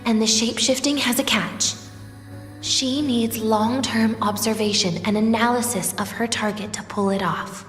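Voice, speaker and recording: Actress doing wistful young student girl from a game, kinda high and soft, tender but bitter, echoey with music. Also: steady tone, natural voice. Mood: serious, confiding. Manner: soft, slow, lingering, at times breaking into whispers. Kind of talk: dramatic game voice acting. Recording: echoey long reverb with music behind.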